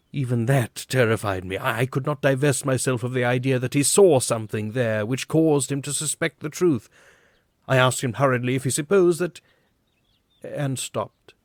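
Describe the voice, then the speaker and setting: A man's voice: mid-range voice, no echo, studio quality. A man giving a grave, theatrical reading of a literary story as an audiobook narrator. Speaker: audiobook reader. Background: background music.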